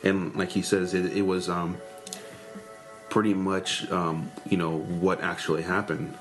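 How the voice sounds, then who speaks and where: A man co-hosting a podcast, chatting casually and haltingly with frequent pauses. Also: low voice, level tone, a bit bright, soft background music. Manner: halting, lots of um and uh. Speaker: podcast co-host.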